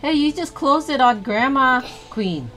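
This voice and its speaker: A woman's voice: high voice, animated, a little slow, pushed and bright. A woman in her thirties chatting casually as a mother playing a game with a young child, exclaiming, encouraging and asking simple questions. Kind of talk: casual play-along chat. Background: music.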